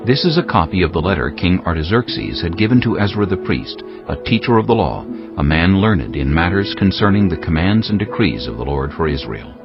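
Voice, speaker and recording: A man's voice: sits low, very animated, a little fast, few pauses. A man narrating scripture from a script in a solemn, formal manner. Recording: muffled, music behind the voice. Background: music.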